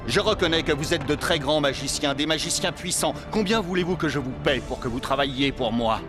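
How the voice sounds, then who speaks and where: Cartoon pharaoh played by a man: fairly high male voice, proud, stubborn, scornful, over clear music. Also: fairly quick, natural voice. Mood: haughty bargaining. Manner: imperious, contemptuous. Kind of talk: dramatic cartoon voice acting. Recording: music under the voice.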